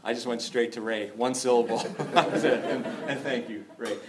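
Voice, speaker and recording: A man hosting an interview, asking thoughtful questions in a warm, chuckling way. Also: mid-range voice, steady tone, moderate pace, far from the mic, a loud crowd. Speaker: host. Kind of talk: conversational interview. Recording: echoey room with background noise.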